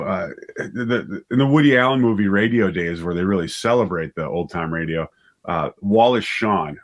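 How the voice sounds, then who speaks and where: Middle-aged male interviewee, lowish voice, chatty and keen, circles back over his words. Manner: rambling, enthusiastic, repeats words as he thinks aloud. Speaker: interview guest, audio drama writer and director. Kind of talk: casual interview conversation.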